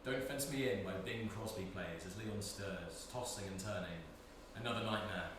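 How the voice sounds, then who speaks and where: Low-voiced man narrating in an echoey room. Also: normal speed. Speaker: stage directions reader. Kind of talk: scripted narration. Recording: echoey room, far mic, noisy.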